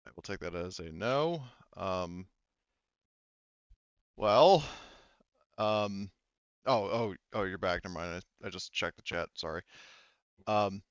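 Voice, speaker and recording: A man's voice: low voice, lively intonation, slow, pauses often, clean tone, no echo, lo-fi sound. A man chatting casually while running an online tabletop game.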